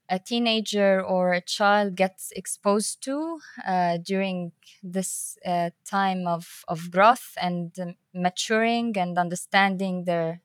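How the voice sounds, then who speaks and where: A woman giving a reflective personal interview as a guest, speaking in a thoughtful, halting way. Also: medium pitch, no room sound. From Middle East and North Africa. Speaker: interview guest, environmental peace-building director.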